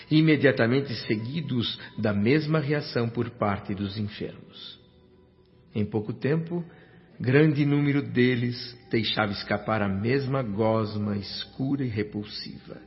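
A man's voice: mid-range voice, close to an everyday voice. An actor with a Brazilian accent playing an earnest, humbled doctor who narrates his experiences formally and reflectively in a radio drama. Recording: dull lo-fi radio drama with music bed.

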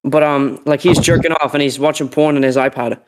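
Rambling sick young man, higher voice. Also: fairly even intonation, brisk, noisy background. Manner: rambling, laughing, lots of fillers and restarts. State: sick, sweating. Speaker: podcast co-host. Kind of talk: casual chat.

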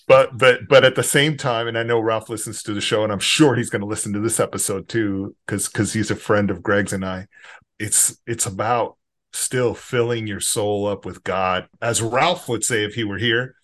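A man's voice: fairly low pitch, steady tone, normal speed, a bit bright. A man hosting a podcast, talking about faith in an earnest, meandering way. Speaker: podcast host. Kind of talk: casual faith discussion.

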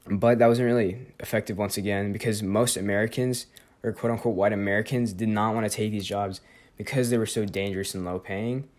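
A man's voice: lowish voice, steady tone, brisk, a little mellow. A teenage boy hosting a student podcast, talking through a history topic in a loose, rambling way, full of fillers and self-corrections. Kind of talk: casual spoken presentation and interview.

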